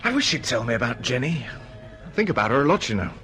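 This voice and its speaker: A British man acting in a radio play as a chatty, disgruntled writer. His pitch jumps about as he presses people with questions, with music behind him. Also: big pitch swings, fast talker, close to an everyday voice. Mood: eager, insistent. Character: disgruntled, lovelorn male writer, chatty and impulsive. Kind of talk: naturalistic radio drama acting.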